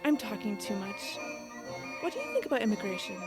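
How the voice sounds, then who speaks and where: A woman's voice: mid-range voice, very animated, fairly quick. A woman playing a talkative, opinionated dinner date in an audio drama. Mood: self-conscious. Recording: loud music under the voice.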